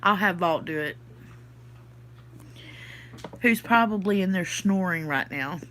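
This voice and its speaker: A woman's voice: lowish voice, expressive, slow pace, a bit bright, slight background noise, rough recording. A woman from the Southern US with a Southern accent, stuffy from her sinuses, chatting casually on a livestream and answering comments from her chat. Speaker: podcast host reading live chat.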